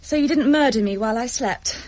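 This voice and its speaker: Witty, high-voiced woman acted in radio drama. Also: a little fast, darkish tone, lightly acted. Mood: wry, teasing. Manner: wry, teasing. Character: witty flirtatious female orchestra cellist, teasing, unflappable.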